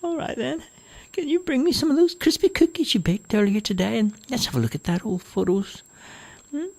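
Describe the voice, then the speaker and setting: A man's voice: extremely high pitch, animated, a little fast, creaky, a little mellow, pitched above the performer's own voice, full character voice. A man putting on a whiny, bossy old mother. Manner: whiny, imperious, complaining. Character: demanding elderly mother, fretful and dramatic. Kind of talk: storybook reading.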